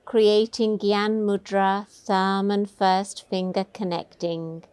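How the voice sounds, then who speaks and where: Very slow, clear-voiced woman guiding meditation. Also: mid-range voice, flat delivery, dry recording. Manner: soothing, unhurried, instructing gently. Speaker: meditation teacher. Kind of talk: guided meditation.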